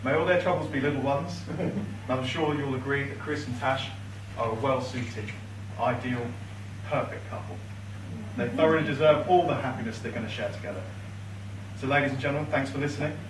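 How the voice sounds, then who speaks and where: A young man in his late twenties giving a best man's speech from notes at a wedding reception, with a dry, teasing delivery and pauses for laughter. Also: medium pitch, background noise. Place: large reception room with high ceiling, indoors. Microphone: far camera across the room, no mic. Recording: echoey room from far off. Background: guests laughing, room ambience.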